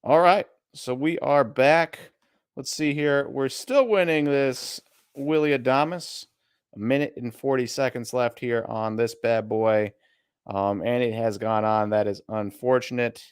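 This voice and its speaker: A man's voice: mid-range voice, a little slow, clean tone, dry recording, clean studio sound. A man with a Midwestern American accent, co-hosting a casual live stream and thinking aloud as he browses listings. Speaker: co-host of a card auction stream.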